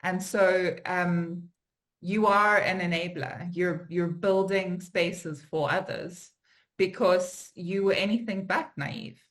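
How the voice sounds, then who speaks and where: Woman host on a video call, talks real slow, lots of ums, musing. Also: on the low side, steady tone. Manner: musing, with many fillers and restarts. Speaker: interviewer host. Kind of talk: reflective conversation.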